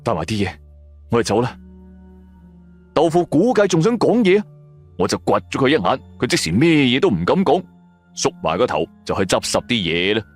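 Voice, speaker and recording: A male Cantonese storyteller with a mid-pitched voice and very animated intonation. He narrates a drama in the first person, with music running beneath him. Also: brisk.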